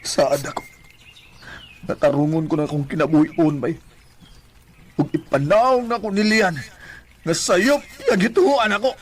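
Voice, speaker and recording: A man's voice: high-pitched, moderate pace, long pauses. A man with a Cebuano accent playing a jilted, hot-tempered young lover pleading melodramatically in a radio drama. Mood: bitter, resolute. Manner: melodramatic, emphatic. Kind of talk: Bisaya radio drama acting.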